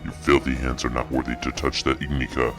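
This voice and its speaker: Super low ancient guardian warrior, stern n scornful, fantasy cartoon, music under it. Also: male voice, little pitch movement, a little fast. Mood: stern contempt. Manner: solemn, scornful, imposing. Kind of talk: animated fan-dub acting. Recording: music behind the voice.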